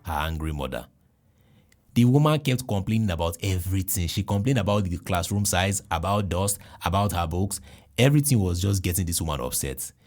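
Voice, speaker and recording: A man with a Nigerian accent, a podcast host telling a comic personal story in an animated, jokey way with exclamations. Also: deep voice.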